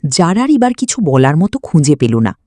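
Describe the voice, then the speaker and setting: A woman's voice: low-pitched, sing-song, moderate pace, warm tone, no room sound, studio quality. A woman narrating a scripted story in a dramatic style.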